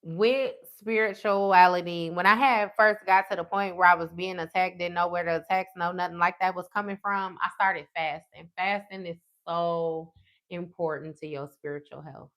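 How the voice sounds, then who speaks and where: A woman's voice: deep voice, a bit bright. A woman in her thirties chatting live with her viewers as a tarot host, blunt and profane. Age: 30s. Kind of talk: casual livestream chat with viewers.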